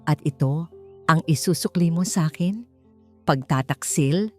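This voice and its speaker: A woman's voice: deep voice, a little slow, mellow. A woman voicing a cold, domineering middle-aged businessman father in a story, commanding and scornful. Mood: cold, angry. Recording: music bed behind the voice.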